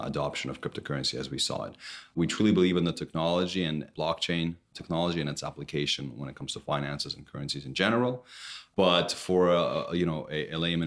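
Very deep-voiced man, halting and hesitant. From Canada. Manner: hesitant, many fillers and restarts. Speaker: startup CEO guest. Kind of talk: conversational interview answers.

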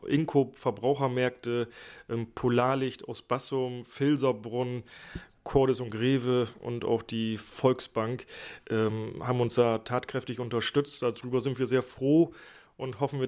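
A man's voice: medium pitch, steady tone, normal speed, a little mellow. A man who organizes a job fair, answering interview questions hesitantly with many fillers.

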